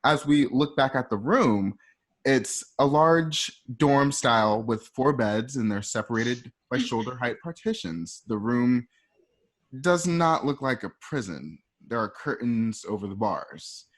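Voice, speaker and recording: Man, mid-pitched voice, informal scene narration, chatty, storytelling delivery. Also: animated, measured pace. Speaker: narrator reading stage directions. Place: at home.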